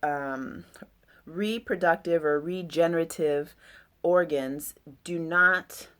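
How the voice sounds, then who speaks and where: A woman with a deep voice talking about astrology in a loose, rambling, reflective way with many fillers. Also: slow pace, a bit bright. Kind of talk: casual astrology talk.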